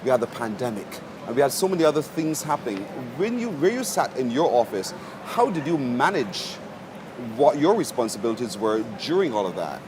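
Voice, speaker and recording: A man's voice: medium pitch, sing-song, a little fast, noisy background. A man hosting a morning television show, interviewing a guest attentively with short affirmations.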